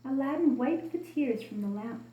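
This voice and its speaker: A woman telling a children's story as an expressive storybook narrator. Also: high voice, moderate pace, muffled recording. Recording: roomy, echoing room sound.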